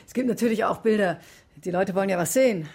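A woman amateur detective in a radio play. Her voice is husky and mid-pitched, and she talks in a composed, probing way, like someone piecing a case together. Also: fast talker, darkish tone. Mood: polite, probing. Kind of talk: naturalistic radio drama acting.

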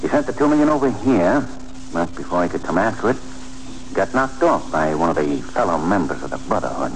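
An actor playing a shrewd, folksy police detective with wry, slangy talk in a 1940s radio drama. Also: male voice, low voice, very animated, brisk. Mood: wry, knowing. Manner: slangy, wry, clipped phrasing. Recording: humming, noisy 1940s radio recording.

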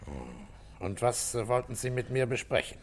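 An actor playing a brusque police commissioner in a German radio play, speaking in terse, probing questions and short grunts. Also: male voice, mid-range voice, normal speed, a little hiss or hum, lightly acted. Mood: probing, calm.

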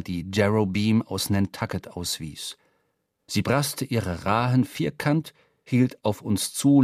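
An actor playing a seafaring narrator who tells a whaling voyage in measured, literary radio drama narration. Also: male voice, mid-range voice, no echo, studio quality, natural voice.

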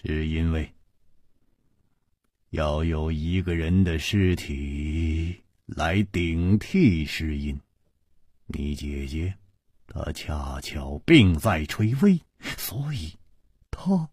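A man voicing a calm, gentle, confident hero detective in a martial-arts audiobook, speaking in hushed, deliberate words. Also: rumbling low, breathy edge, no echo, clean studio sound, pitched below the performer's own voice, full character voice. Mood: grave, deliberate. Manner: hushed, slow, deliberate, word by word.

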